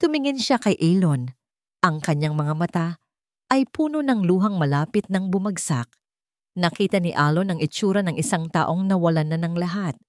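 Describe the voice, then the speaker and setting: A woman's voice: on the low side, animated, normal speed, a little mellow, dry recording, clean studio sound. A woman with a Filipino accent, narrating a scripted love story in a dramatic storytelling style.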